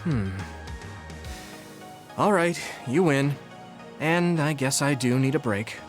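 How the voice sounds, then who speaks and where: A man's voice: mid-range voice, slow pace, over music, lightly acted. A young man acting as an earnest, reserved and dutiful hero in a video game, speaking sincerely and seriously. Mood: reluctant.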